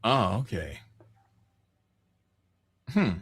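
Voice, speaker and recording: A man's voice: fairly low pitch, huge pitch swings, very slow, a bit bright, breathy edge. A male streamer chatting casually in reaction to game cut scenes, blunt, slangy and sceptical.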